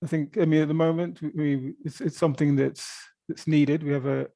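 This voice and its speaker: A man's voice: a little high, fairly even intonation, fairly quick, vocal fry, mellow, dry recording, studio quality. A man speaking as an expert at a press conference, explaining things off the cuff in a hesitant way with repeated words. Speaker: volcano observatory director answering press questions. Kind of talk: spontaneous press conference answers.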